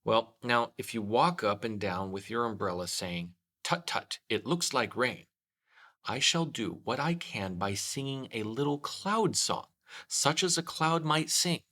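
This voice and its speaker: A man's voice: mid-range voice, animated, measured pace, bright, forceful tone. A man voicing an earnest, solemn, slightly foolish toy bear in a children's storybook. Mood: practical, earnest. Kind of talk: children's storybook read-aloud.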